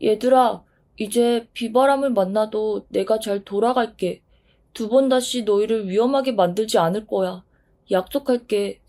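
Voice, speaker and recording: A woman's voice: medium pitch, moderate pace, dark tone, lightly acted. A woman voicing a small, sensitive wind in a storybook reading, earnest and emotional. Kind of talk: storybook voice acting.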